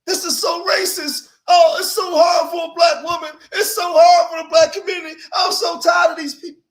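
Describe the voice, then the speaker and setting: A shrill, self-pitying online complainer wails and shouts about how hard everything is, as a man mocks her in a high put-on voice. The voice sits well above a normal man's range and is pushed to a loud, teary whine. It is mocking and exaggerated throughout. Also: level tone, moderate pace, reverberant. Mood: mock wailing.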